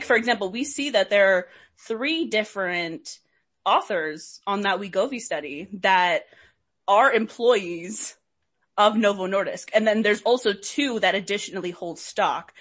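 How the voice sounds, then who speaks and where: A young woman, a doctoral student, talking as a podcast guest, lively and laughing often, with many "like"s. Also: medium pitch, measured pace. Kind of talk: interview conversation.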